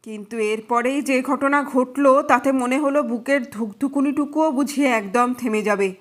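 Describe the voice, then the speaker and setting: A woman's voice: sits high, normal speed, lightly acted. A woman voicing a shaken young man in an audio story, earnestly recounting a frightening night in a dramatic, suspenseful way. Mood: frightened, suspenseful. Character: shaken young brother-in-law recounting a ghostly night visitor.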